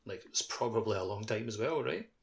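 A man's voice: fairly low pitch, animated, fairly quick, creaky. A man on a podcast chatting about a game in a loose, informal, fragmented way. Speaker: podcast host. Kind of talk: casual chat about a game.

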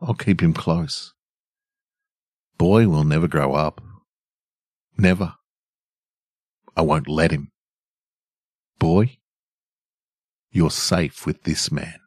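Middle aged guy podcast narrator, low voice, takes his time, no room sound. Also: very slow, pauses often, darkish tone, no room sound, studio quality. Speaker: podcast host and story reader. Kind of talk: scripted story narration and casual podcast outro.

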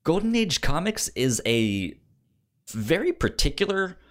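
A man in a casual film debate as a co-host, talking haltingly and often stammering and repeating words. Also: on the high side, big pitch swings.

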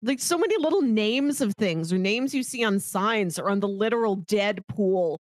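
A woman's voice: mid-range voice, lively intonation, normal speed, dry recording, studio quality. A woman co-hosting a podcast in casual, conversational discussion. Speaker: podcast co-host.

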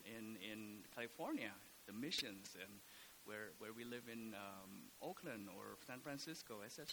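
Priest from indonesia, bright voice, lots of ums and restarts, faint hiss. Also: male voice, mid-range voice, low-quality recording. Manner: halting, many fillers and restarts. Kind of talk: casual podcast talk.

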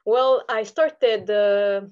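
A woman's voice: medium pitch, fairly even intonation, very slow, a little mellow, lo-fi sound. A woman from Romania with a Romanian accent, answering conversationally as an interview guest. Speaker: podcast guest, online seller.

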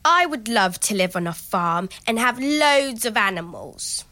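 A woman's voice: on the high side, expressive, measured pace, pushed and bright. A woman playing a curious, earnest young boy in an audio drama, eager and innocent as he listens to his grandmother.

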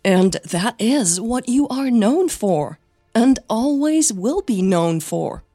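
Hurt young girlfriend in a radio drama, played by a woman, pleading and plain, husky with flu, close dry sound. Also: a little high, expressive, slow pace, dark tone, no room sound, soft background music, pitched above the performer's own voice, exaggerated voice. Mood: reassuring. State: flu-affected voice.

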